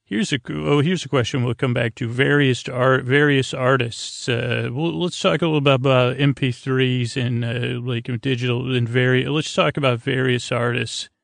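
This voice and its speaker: Male sleep-podcast host, creaky voice, close dry sound, wandering and hesitant delivery full of false starts. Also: medium pitch, fairly even intonation, no room sound. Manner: meandering, tangent-prone, halting and stammering; languid, drawn-out delivery meant to lull. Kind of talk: rambling bedtime podcast monologue.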